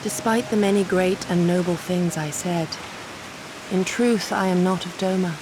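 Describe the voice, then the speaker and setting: An actress voicing an earnest, solemn young shinobi woman in a video game, speaking formally and with measured care. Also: on the low side, steady tone, noisy background, natural voice. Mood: quiet, reflective. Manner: formal, measured, theatrical speech. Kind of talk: dramatic video game acting.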